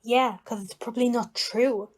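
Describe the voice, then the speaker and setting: A woman's voice: on the high side, steady tone, moderate pace, close to an everyday voice. A woman playing an eager, cheeky tavern regular in an audio play. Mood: sceptical.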